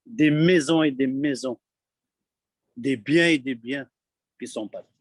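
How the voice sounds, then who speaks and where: A man from Kabylie speaking abroad, giving an urgent, halting testimony full of repeated words. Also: medium pitch, breathy edge, dry recording, clean studio sound. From Kabylie, Algeria. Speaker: community member abroad. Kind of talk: impassioned testimony.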